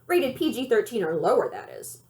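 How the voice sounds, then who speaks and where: A feisty grandmother from a children's storybook, acted out by a woman reader. Her pitch is high and swings widely. She is folksy, proud and emphatic, brisk and excited in how she talks.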